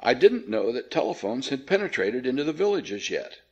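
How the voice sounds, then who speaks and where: A man voicing a proper gentleman houseguest in an audiobook, formal and stiffly calm in manner. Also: mid-range voice, a little fast, breathy edge, highs cut off. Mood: stiff, insistent. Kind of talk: audiobook character voice.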